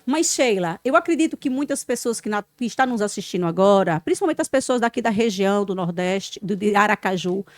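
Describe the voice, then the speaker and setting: A woman's voice: mid-range voice, fairly quick, few pauses, dry recording. A woman podcast host, talking expressively with frequent tag questions. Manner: expressive, frequent "né", loud greetings. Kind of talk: warm podcast interview.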